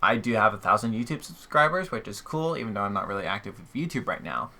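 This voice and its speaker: A man's voice: on the low side, lively intonation, fast talker, pushed and bright. A man hosting a podcast in casual conversation, explaining his points emphatically. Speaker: podcast host. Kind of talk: casual chat.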